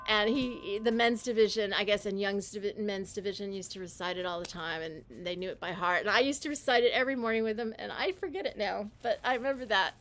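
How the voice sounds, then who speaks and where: A woman talking alone on a livestream from her home, rambling with halting restarts. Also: mid-range voice, fairly bright tone. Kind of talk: casual livestream monologue.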